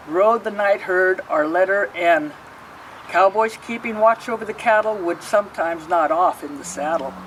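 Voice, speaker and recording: A woman reading a rhyming picture book aloud, pausing to explain the pictures. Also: medium pitch, distant mic, a little hiss or hum, low-quality recording. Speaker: storybook reader.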